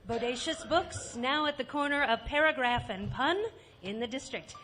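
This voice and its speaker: An actress playing a radio announcer in a parody bookshop advert. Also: female voice, high voice, normal speed, natural voice. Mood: breezy promotional. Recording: long reverb under loud music.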